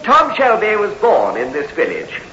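A fussy, anxious village vicar in an old radio drama, formal and earnest. His voice is bright and swoops widely. The recording is muffled and hissy. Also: sits high, wildly swooping pitch, normal speed, full character voice. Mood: proud, declamatory. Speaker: clergyman character. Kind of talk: 1960s radio drama acting.

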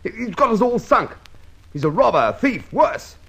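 Irascible police inspector, vintage radio drama; lively, spluttering, easily flustered. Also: male voice, sits high, normal speed, gravelly, breathy edge, full character voice. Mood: exasperated. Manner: blustering, stammering, repeats himself. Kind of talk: mid-century radio drama acting.

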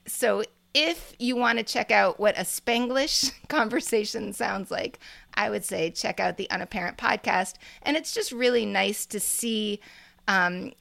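A middle-aged woman with a bright, slightly gravelly voice talks casually on a podcast. She wanders through her points and laughs often. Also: medium pitch. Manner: chatty, meandering, laughs often. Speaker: podcast co-host.

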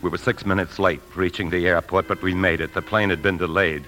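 A man's voice: low-pitched, little pitch movement, brisk, over music, natural voice. An actor in a 1950s radio drama playing a driven, secretive husband, urgent and insistent as he speaks and narrates. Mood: tense narration. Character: tense, driven husband hiding a secret, desperate to escape.

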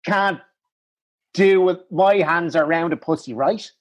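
A man's voice: a little high, unhurried, muffled recording. A man playing a shifty, evasive small-time businessman on a comedy call-in. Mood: sheepish. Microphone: video call.

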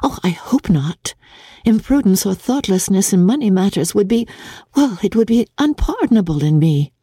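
A woman voicing a sweet, earnest young gentlewoman in a classic novel reading, formal and breathlessly dutiful. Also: medium pitch, animated, normal speed, husky, no echo, studio quality, voice pushed up. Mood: modest protest.

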